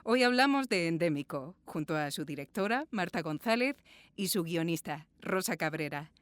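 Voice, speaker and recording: A woman's voice: fairly low pitch, lively intonation, normal speed, dry recording. A woman hosting a podcast interview, effusive and chatty. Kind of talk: warm podcast presenting and interviewing. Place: small sound booth.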